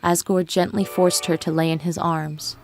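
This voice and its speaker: A woman's voice: fairly low pitch, level tone, moderate pace, a little mellow, slight background noise. A woman reading scripted narration for a dramatic fan fiction story, with music playing behind her. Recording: music bed with birdsong behind.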